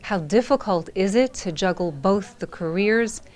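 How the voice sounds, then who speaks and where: A woman interviewer asking direct, brisk questions. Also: medium pitch, lively intonation, darkish tone.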